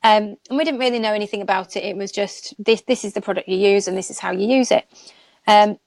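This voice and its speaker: A woman's voice: medium pitch, quick pace, darkish tone, dry recording. A chatty woman, a nail technician, tells her story in a loose, rambling way with frequent laughs. The sound is dry and close, with almost no room. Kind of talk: casual live chat.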